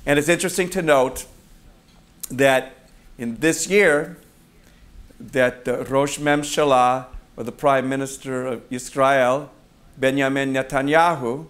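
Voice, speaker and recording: A middle-aged man preaching to his congregation at an ordinary middle pitch. He tells his stories loosely and conversationally, pausing on fillers and correcting himself as he goes. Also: steady tone, fairly bright tone. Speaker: rabbi of a congregation. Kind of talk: sermon.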